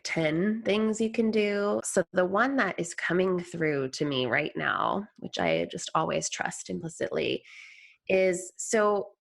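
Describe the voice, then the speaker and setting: A woman speaking as a podcast guest in a reflective conversation, thoughtful and halting as she searches for words. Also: fairly low pitch, creaky.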